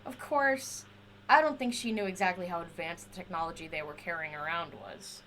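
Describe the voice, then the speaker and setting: A woman's voice: lowish voice, moderate pace, sharp tone, slight background noise, low-quality recording. A woman playing a chatty, eccentric inventor in an audio drama, rambling eagerly and wheedling to justify herself. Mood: nervous persuasion. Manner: rambling, wheedling, talks to a silent companion.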